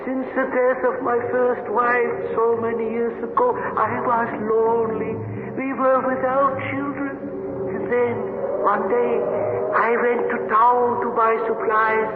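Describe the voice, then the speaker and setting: High, echoing old ghost. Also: male voice. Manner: solemn, formal, slow pleading. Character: lonely, gentle old immigrant husband returned as a ghost. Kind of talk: 1970s radio drama acting. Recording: noisy, tinny old radio broadcast.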